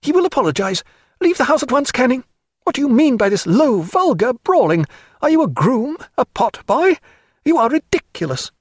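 A man voicing a proud, emotional young gentlewoman in an audiobook, with imperious, formal period diction. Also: high voice, sing-song, a little fast, slightly rough, no room sound, pitched above the performer's own voice, heavily acted. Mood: commanding alarm. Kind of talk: dramatised audiobook character voice.

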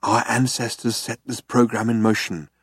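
A man voicing a solemn, formal official who speaks with measured reverence, as an audiobook character. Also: medium pitch, flat delivery, slightly rough, no room sound, clean studio sound, natural voice. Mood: solemn awe. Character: solemn, awed, formal male official of an alien race.

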